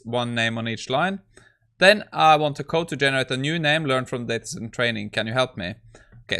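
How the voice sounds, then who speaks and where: Bright-voiced man, casual tutorial. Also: mid-range voice. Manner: loose, informal, thinking aloud. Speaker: host of coding tutorial channel. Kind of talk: casual screen-share tutorial commentary.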